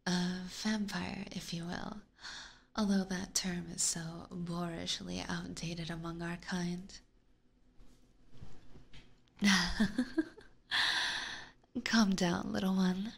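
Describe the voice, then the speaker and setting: A woman's voice: lowish voice, flat delivery, slow, vocal fry, long pauses, heavily acted. A woman playing a haughty, teasing, predatory vampire in an ASMR roleplay, whispering breathily with formal, condescending diction close to a binaural microphone. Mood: seductive, indulgent.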